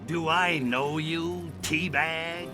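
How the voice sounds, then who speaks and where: An actor playing a sarcastic, short-tempered, wisecracking alien invader in a video game. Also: male voice, a little high, steady tone, very slow, music in the background. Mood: sardonic. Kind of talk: video game cutscene acting.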